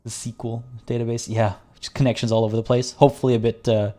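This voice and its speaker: A man streaming a video game, chatting casually with viewers in a rambling way, and slightly hoarse, as if losing his voice. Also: mid-range voice, little pitch movement, quick pace, mellow. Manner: rambling and chatty, frequent restarts. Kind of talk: casual livestream commentary.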